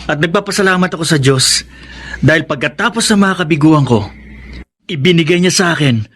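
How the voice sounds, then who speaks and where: Devoted young Filipino son. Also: male voice, fairly high pitch, lively intonation, a little fast, fairly bright tone, breathy edge. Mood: happy, amused. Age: young adult. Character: grateful, devoted grown son ready to marry. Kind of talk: Filipino radio drama acting.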